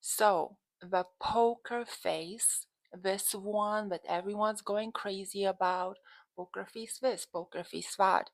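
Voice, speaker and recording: A woman's voice: medium pitch, fairly even intonation, slow pace, vocal fry, no room sound, low-quality recording. A woman from Lithuania with a Lithuanian accent, talking casually to camera as a coach in an emphatic, conversational way. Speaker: dating and relationship coach, channel host.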